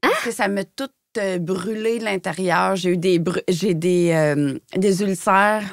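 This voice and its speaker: A woman with a Quebec French accent from Shawinigan speaks frankly in a casual interview. Her voice sits at a middle pitch in a very dry recording. She uses colloquial phrasing and often searches for the right word as she tells personal stories. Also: a little slow, gravelly, dry recording. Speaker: podcast guest, former model and designer. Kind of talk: candid personal storytelling in casual chat.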